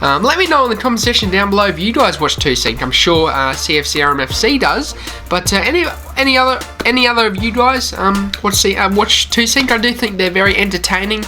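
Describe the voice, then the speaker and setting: Aussie guy w a light accent rambling over his game footage, bright voice, bit of music under it. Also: high voice, expressive, fairly quick. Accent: mild Australian. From Australia. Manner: chatty and rambling, with many "you know" fillers. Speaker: gaming channel host. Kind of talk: casual commentary over gameplay.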